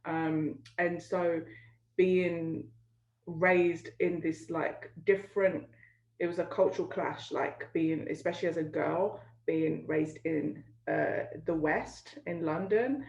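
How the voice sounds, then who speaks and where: A woman's voice: fairly low pitch, takes it slowly, muffled recording. Woman guest on a roomy video call. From Kurdistan, northern Iraq. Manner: animated, talks with her hands. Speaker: guest, business coach. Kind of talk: candid interview conversation. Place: home room, video call.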